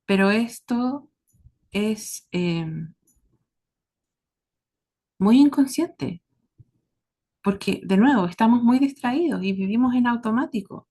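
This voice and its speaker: A woman with a Chilean accent giving a reflective monologue to her listeners, speaking earnestly and deliberately in short, paused phrases. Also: on the high side, expressive, warm tone, breathy edge. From southern Chile. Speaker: host of a series about caregiving.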